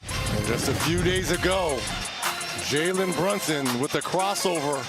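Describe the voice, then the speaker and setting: A man's voice: fairly high pitch, expressive, unhurried, creaky, over loud music. A man working as a basketball color analyst, emphatically breaking down plays in live commentary.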